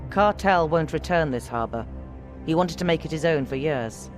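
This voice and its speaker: Low-voiced, grave commander. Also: female voice, animated, moderate pace, faint music behind. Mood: grave, briefing. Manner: grave, authoritative. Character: stern mother, commanding resistance leader. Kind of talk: game cutscene briefing.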